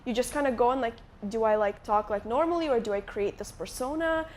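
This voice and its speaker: A woman's voice: fairly high pitch, fairly quick, a little mellow, a little hiss or hum. A woman in her late twenties from Moldova, a guest chatting onstage in a live interview, with lots of likes and restarts. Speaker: guest YouTuber. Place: conference stage before a live audience.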